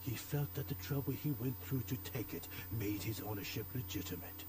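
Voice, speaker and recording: An actor playing a cryptic, sinister antique shopkeeper in a video game, telling morbid tales in a measured, formal, ominous way. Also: male voice, medium pitch, background noise, natural voice. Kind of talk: dramatic video game acting.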